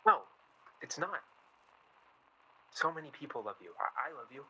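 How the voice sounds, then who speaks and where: An actor playing an earnest, exasperated young man in a naturalistic radio play, halting and defensive as he talks. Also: a little high, animated, sharp tone, a little hiss or hum, rough recording. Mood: ashamed, apologetic. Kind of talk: radio play dialogue, naturalistic period drama.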